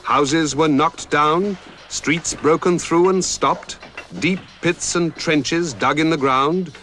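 Lively documentary narrator, man. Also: a little high, a little hiss or hum. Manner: measured, dramatic storytelling delivery.

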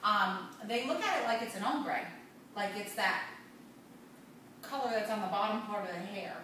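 A woman's voice: mid-range voice, moderate pace, sharp tone. A young adult woman co-hosting a salon talk, explaining things in casual chat. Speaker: salon talk show co-host, hair stylist. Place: indoor hair salon seating area. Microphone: far camera. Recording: distant mic, echoey room, noisy background.

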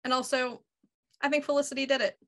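Young woman panel guest, bright punchy voice, playful casual chat. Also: high voice, little pitch movement, quick pace. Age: young adult. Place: room at home, video call.

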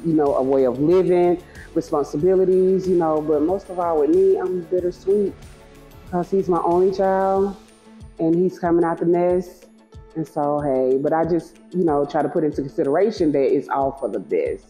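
A middle-aged mother talks informally in an interview indoors. Her voice is very low for a woman and dark in tone, with faint music behind. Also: normal speed. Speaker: parent of a student. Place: indoor lounge or lobby.

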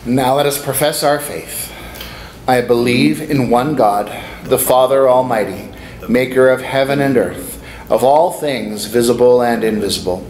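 A man's voice: medium pitch, measured pace, darkish tone. Man leading the creed in an echoey church. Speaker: priest leading the creed at Mass. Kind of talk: liturgical recitation. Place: small stone-walled church sanctuary. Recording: echoey church heard from off the mic.

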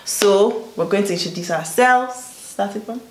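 A young woman hosting a casual group chat on her channel, playful and quick to laugh. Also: medium pitch, reverberant, poor audio. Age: young adult. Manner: animated, laughs often, playful emphasis. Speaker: host. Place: small room indoors.

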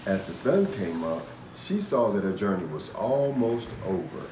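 Man, thirties, low voice, expressive measured storytime reading, animated intonation, picture book narration. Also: background noise. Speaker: storytime reader, host. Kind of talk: scripted children's picture book read-aloud. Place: indoor room. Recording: roomy echo. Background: music.

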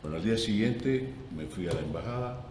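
A man's voice: on the low side, lively intonation, brisk. A middle-aged Cuban man with a Cuban Spanish accent, telling a story as a talk show guest and acting out the voices he quotes. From Cuba. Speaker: guest actor. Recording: roomy echo, distant mic, audible room noise.

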